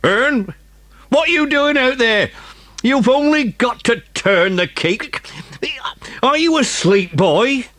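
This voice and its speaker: A man's voice: very high voice, animated, slow, no echo, pitched above the performer's own voice, heavily acted. A man voicing a pompous, bullying village policeman in an audiobook story, blustering and overheated. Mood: cross, blustering. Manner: blustering, shouting, grumbling.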